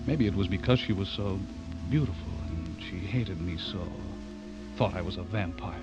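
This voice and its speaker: An actor playing a courteous but uneasy bachelor writer in a radio drama, guarded and brooding. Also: male voice, low voice, normal speed, close to an everyday voice. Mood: brooding, troubled. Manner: polite, guarded, brooding when narrating. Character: courteous, uneasy older bachelor writer suspected of being a vampire. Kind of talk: radio drama acting with first-person narration. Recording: dull lo-fi radio recording, music behind.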